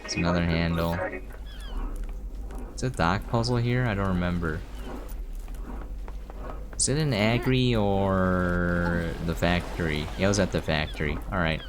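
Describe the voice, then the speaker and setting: A man's voice: low-pitched, a little slow, long pauses. A man giving casual gameplay commentary, thinking aloud and trailing off, with game music behind him. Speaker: game streamer. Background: video game music.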